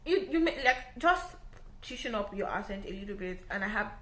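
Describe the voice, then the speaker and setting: A woman's voice: mid-range voice, animated, normal speed. A young woman from Nigeria with a Nigerian English accent, chatting casually to camera as she explains things step by step. She repeats her points and often checks in with "right?". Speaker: vlogger giving visa advice. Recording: roomy echo.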